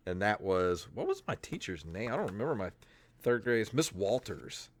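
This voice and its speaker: An American man in his fifties hosting a podcast in casual, joking chat, amused, self-deprecating and quick to laugh. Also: lowish voice, big pitch swings. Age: 50s. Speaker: podcast host. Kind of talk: casual joking chat, reading aloud from old papers.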